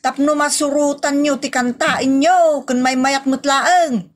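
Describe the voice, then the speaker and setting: A woman's voice: sits high, fairly even intonation, unhurried. A woman acting a brash, argumentative young woman who loves karaoke, in an Ilocano comedy audio drama, with a whiny, exclaiming delivery. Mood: argumentative, chatty.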